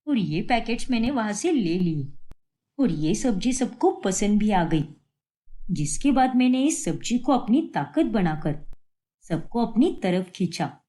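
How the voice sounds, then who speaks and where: A woman's voice: fairly high pitch, warm tone. A woman voicing a jealous daughter-in-law in a moral-story cartoon. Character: jealous, scheming daughter-in-law, later repentant.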